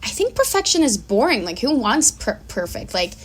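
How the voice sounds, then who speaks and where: A woman's voice: a little high, big pitch swings, moderate pace. A woman speaking as an interview guest in casual, informal conversation with emphatic repetition. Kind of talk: casual chat.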